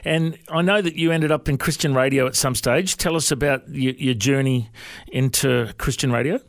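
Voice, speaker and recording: A man's voice: mid-range voice, normal speed, gravelly, dry recording, clean studio sound. A man with an Australian accent, hosting a casual interview. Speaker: interview show host.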